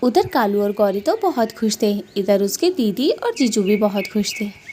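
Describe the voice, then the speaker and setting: A woman's voice: medium pitch, big pitch swings, moderate pace, mellow, breathy edge. A woman narrating a scripted Hindi cartoon story for children.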